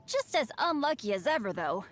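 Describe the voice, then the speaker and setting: An eager teenage boy adventurer from a video game, with a high, boyish voice. He talks casually and earnestly, swinging freely up and down in pitch, humble and cheerful about his rotten luck. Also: very animated, normal speed, soft background music. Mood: reassuring. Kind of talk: video game character voice lines.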